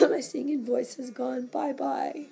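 Hoarse woman vlogging at home, slow, a bit high, soft christmas music in the back. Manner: gushing, coos softly to the doll. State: hoarse, coughing. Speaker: reborn doll collector vlogger. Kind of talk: casual chat to camera. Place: home nursery room, indoors. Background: Christmas music playing.

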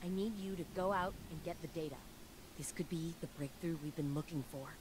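An actress plays a driven young scientist who talks in an earnest, urgent, persuasive way. Her voice sits a little low, with a steady hum running beneath the game audio. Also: a little fast, lightly acted. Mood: urgent, hopeful. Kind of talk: video game cutscene voice acting.

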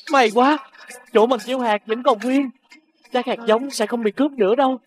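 A woman voicing an earnest, determined young boy in an animated fairy tale, with an expressive delivery. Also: fairly high pitch, measured pace, close to an everyday voice. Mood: delighted.

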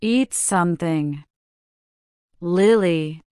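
A woman voicing a gentle, grieving grandmother in a storybook, speaking softly. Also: sits low, steady tone, takes it slowly, no echo, studio quality, voice pushed down. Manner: soft, gentle speech.

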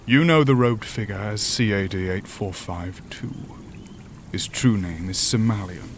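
Man playing a low-voiced, courtly demon. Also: a little hiss or hum, natural voice. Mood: formal, calm. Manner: formal, deliberate, solemn, eloquent. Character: grave, courtly demon from a higher dimension, ancient cosmic storyteller. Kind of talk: audio drama horror acting.

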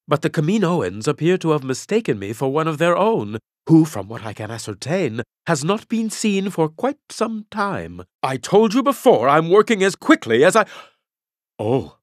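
An actor playing a pompous, theatrical alien Jedi master in a video game, with florid and grandiose diction. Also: male voice, a little high, no room sound, clean studio sound. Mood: apologetic, aggrieved. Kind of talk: video game character voice clips.

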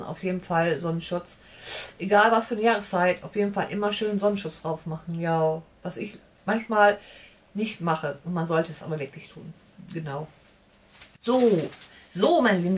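A woman's voice: mid-range voice, far from the mic. A woman in her forties chatting casually through a beauty box unboxing at home, rambling with lots of fillers and restarts. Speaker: beauty vlogger. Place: home room with shelves and warm lamps.

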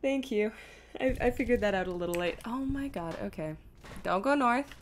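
A woman's voice: fairly high pitch, expressive, normal speed, a little hiss or hum. A woman streaming a video game with casual commentary, thinking aloud in a self-deprecating, exasperated way.

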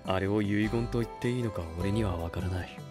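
A male actor playing an earnest, soft-spoken young record label head in an anime voice drama, speaking in a measured way. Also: on the low side, over music, close to an everyday voice.

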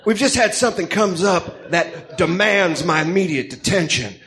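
A man playing an excitable, self-important Greek crime boss in a comic-book radio drama, melodramatic and blustering. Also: high-pitched, moderate pace. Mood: alarmed, commanding.

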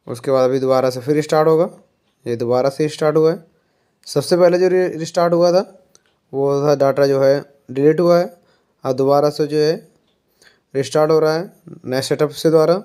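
A man talks through a phone setup in an unhurried, explanatory way. His voice sits a little high for a man. He often pauses on filler words as he moves from step to step. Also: fairly even intonation, dark tone, clear, resonant tone. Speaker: tech tutorial presenter.

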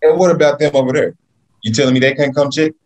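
A man's voice: fairly high pitch, fairly even intonation, fast, natural voice. A young man reading the part of a worried, frustrated man in a script table read. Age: young adult. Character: worried, frustrated man pressing hospital staff for help. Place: small plain room indoors, on a video call.

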